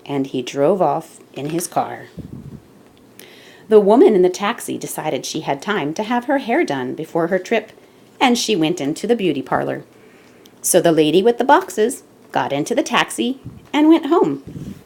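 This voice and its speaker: A woman reading a children's picture book aloud with deliberate, expressive emphasis, as the storyteller and host. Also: on the low side, vocal fry, slightly rough.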